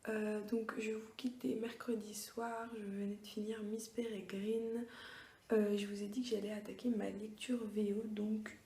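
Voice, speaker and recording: A young woman chatting in a hushed, often half-whispered voice, hesitant and full of fillers, in a casual book vlog. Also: mid-range voice, little pitch movement, moderate pace, roomy sound. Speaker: book vlogger. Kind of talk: casual reading-challenge vlog diary. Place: at home at night.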